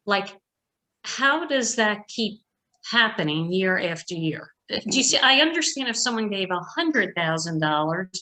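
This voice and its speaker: A woman's voice: mid-range voice, very animated, unhurried, bright, forceful tone. An older woman talking informally at a virtual meeting in a conversational, plainspoken and emphatic way. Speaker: donor asking questions at an online meeting.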